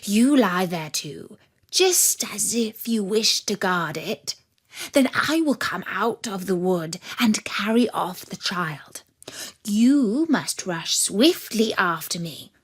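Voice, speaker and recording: Sly storybook wolf, played by a woman, whispery, drawn-out delivery, conspiratorial, coaxing. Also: medium pitch, animated. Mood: sly, reassuring. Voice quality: breathy whisper. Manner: slow, conspiratorial. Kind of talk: fairy-tale storybook reading.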